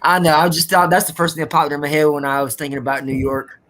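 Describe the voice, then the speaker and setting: A man's voice: a little high, steady tone, fast talker, fairly bright tone. A man in a casual voice chat, speaking hesitantly with many fillers.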